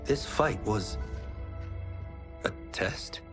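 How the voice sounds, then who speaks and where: An actor playing an earnest, humble young village fighter in a video game, with a hesitant, questioning manner. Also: male voice, lowish voice, expressive, drawn-out words, fairly bright tone, faint music behind, full character voice. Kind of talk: video game cinematic acting.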